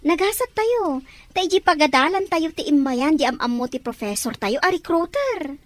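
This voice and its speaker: Animated high-pitched young Filipina woman, acted by a woman. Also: moderate pace, no room sound. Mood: hopeful. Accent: Filipino Ilocano. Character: young Filipina daughter eager to work abroad. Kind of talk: Ilocano radio-style drama acting.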